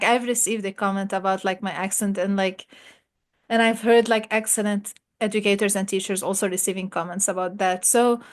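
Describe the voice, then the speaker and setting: A woman interviewing a fellow graduate student, chatty and full of "like" fillers. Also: mid-range voice. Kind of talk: casual interview.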